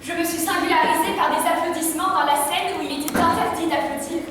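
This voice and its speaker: A young woman with a high voice declaims a chanted litany from a theatre stage. She is heard from far off in a strongly echoing hall, with clear noise underneath. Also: fairly even intonation, fast talker, fairly bright tone. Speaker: drama student. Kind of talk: stage recitation. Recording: echoey hall, distant mic, noisy.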